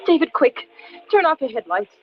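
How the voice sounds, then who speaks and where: An actress playing a frightened, pleading wife in an old-time radio thriller. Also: female voice, high voice, very animated, normal speed, faint music behind. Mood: panicked, pleading. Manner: anxious, pleading. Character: terrified, pleading wife stranded in a car. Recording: muffled, noisy vintage radio recording.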